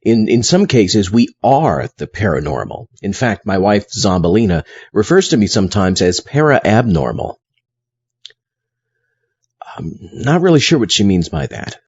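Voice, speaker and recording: A man playing an earnest, easily exasperated zombie astronaut host in a comedy audio drama, explaining things haltingly. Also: on the low side, sing-song, a little mellow, slightly rough, lo-fi sound, full character voice.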